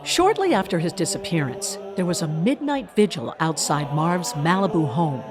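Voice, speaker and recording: A woman's voice: fairly low pitch, very animated, normal speed. A woman delivering scripted narration for a mock documentary.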